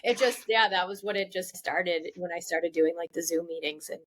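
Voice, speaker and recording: A woman's voice: lowish voice, normal speed, fairly bright tone, low-quality recording. A woman who is a guest on a podcast, talking in an upbeat, chatty way and laughing easily. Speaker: podcast guest, founder of a support group. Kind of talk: casual podcast interview.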